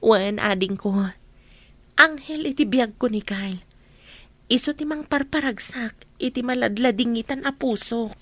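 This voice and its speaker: A woman's voice: fairly high pitch, unhurried, a bit bright, dry recording. A woman acting a kind, grateful young woman and caring older sister in a radio comedy drama.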